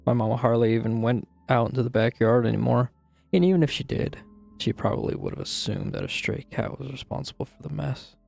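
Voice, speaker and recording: A man playing a troubled, guilt-ridden narrator confessing a grim childhood memory in a brooding, dramatic horror-story style, with a gravelly, husky voice. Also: medium pitch, a little fast, a little mellow. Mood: anxious, guilty. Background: music.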